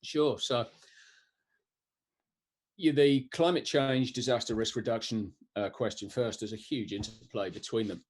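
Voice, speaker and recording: A European man answering interview questions in a thoughtful, explanatory way, pausing often with ums and restarts. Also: medium pitch, a little mellow, slightly rough. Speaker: guest, disaster resilience expert.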